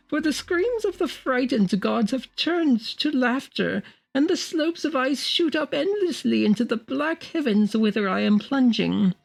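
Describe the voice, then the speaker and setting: A proud, fanatical prophet as a woman reader acts him out, crying out in archaic, grandiose phrases. The voice is shrill and raised high, in a storybook horror style, with music playing underneath. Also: gravelly, darkish tone, heavily acted. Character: Barzai, proud fanatical male prophet, exultant, wildly shouting. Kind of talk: dramatic audiobook character voice.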